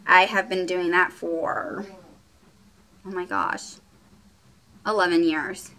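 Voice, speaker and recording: A woman's voice: lowish voice, fairly even intonation, slow, pushed and bright. A woman in her late twenties from Puerto Rico, raised in Texas, chatting casually to camera at home in a rambling way with lots of fillers. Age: late 20s. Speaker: beauty vlogger. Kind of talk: casual get-to-know-me vlog.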